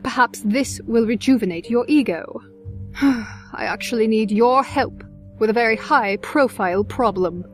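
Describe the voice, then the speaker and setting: A woman voices a refined, powerful elder-sister pony in an audio drama. Her voice is on the high side, composed, formal and smug, with faint music behind it. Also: unhurried, lightly acted. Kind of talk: scripted audio drama acting.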